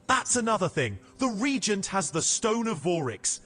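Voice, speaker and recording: A man's voice: high voice, big pitch swings, normal speed, fairly bright tone, soft background music, heavily acted. A man playing a wry, self-preserving guild assassin in a fantasy audio drama. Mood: urgent, bitter.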